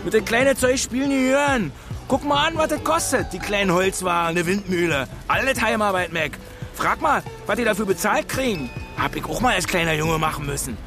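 A man's voice: high-pitched, animated, fairly quick. An actor playing a bitterly mocking Berlin working man in a radio drama, with a local accent and a sneering, colloquial delivery. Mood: sarcastic. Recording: music behind the dialogue.

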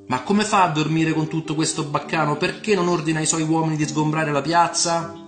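A man's voice: on the high side, fairly even intonation, brisk, fairly bright tone, reverberant, faint music behind, voice pushed up, lightly acted. A man voicing a guarded, irritable but curious young man, with terse, challenging questions. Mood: irritated, challenging.

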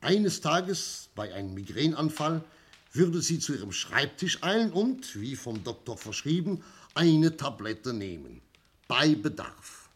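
A man playing a shrewd, self-assured police inspector in a 1960s radio crime drama, explaining things theatrically with emphatic pauses. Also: on the high side, sing-song, slightly rough, full character voice. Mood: lecturing, dramatic. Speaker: actor in a radio play.

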